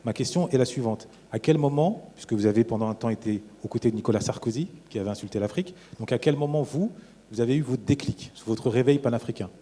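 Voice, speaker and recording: Man raised in France, panel moderator: mellow, hesitant questioner. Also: medium pitch, quick pace, slightly rough. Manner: long, searching questions with hesitations.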